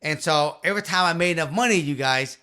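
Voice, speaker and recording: A man in his fifties telling his story to the camera in a small home office, earnest and emphatic, into a boom-arm studio mic. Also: fast talker, pushed and bright, clean tone. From Vietnam. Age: 50s. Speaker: real estate investor and channel host.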